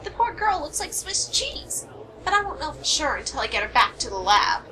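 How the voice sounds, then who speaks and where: A woman's voice: high voice, sing-song, normal speed, noisy background. A woman playing a cheerfully morbid, dry-humored medical examiner in an amateur radio play. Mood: dryly playful.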